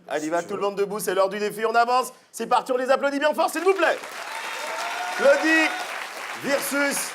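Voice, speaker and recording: Brief: high, animated man hyping a studio quiz, faint audience and noise behind. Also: moderate pace, crowd noise. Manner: loud, joking, laughing hype. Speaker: game presenter. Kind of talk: comedy TV game show hosting.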